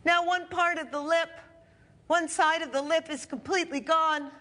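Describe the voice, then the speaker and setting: A woman performing a stage monologue as a grieving young village woman who survived war, speaking in slow, halting, incantatory lines. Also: very high voice, fairly even intonation, pushed and bright, voice pushed up. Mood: anguished.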